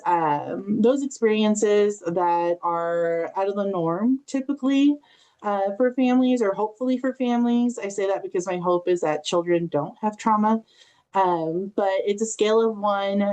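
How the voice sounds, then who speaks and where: An American woman presenting an informal online training, speaking in a halting, reflective, conversational way with personal anecdotes. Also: medium pitch, a little mellow, low-quality recording. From Southern California, United States. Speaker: family engagement specialist presenting a training session.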